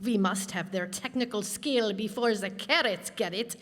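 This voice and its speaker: A woman's voice: mid-range voice, moderate pace, a bit bright, lightly acted. A woman playing a pompous, scolding onion party boss in a radio comedy, stern and declamatory. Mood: stern, indignant. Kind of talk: old-time radio comedy acting. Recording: reverberant hall stage recording.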